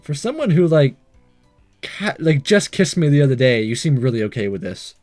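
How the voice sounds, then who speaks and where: A man's voice: mid-range voice, sing-song, moderate pace, a little mellow. A man hosting a let's play, reading visual novel dialogue aloud in a playful, theatrical way with joking asides. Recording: game music behind.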